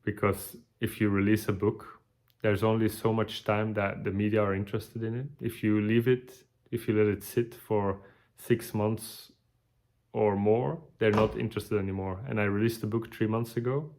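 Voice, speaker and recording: A tired man, giving a halting, casual video update with many restarts. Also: on the low side, steady tone, darkish tone. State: tired, burned out. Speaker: vlogger.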